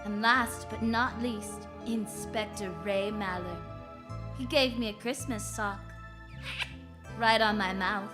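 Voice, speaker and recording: A woman's voice: medium pitch, steady tone, slow, lightly acted. A woman playing a wry, flirtatious private detective who narrates her case in a vintage radio drama. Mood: fond, playful. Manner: wry, hard-boiled storytelling. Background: music.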